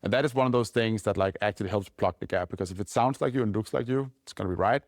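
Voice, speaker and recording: Man, Danish accent, rapid loose speech, lowish pitch, dry close sound. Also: no room sound. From Denmark. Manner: quick, loose talk full of "like" and "right?". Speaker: company founder guest. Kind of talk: casual podcast interview.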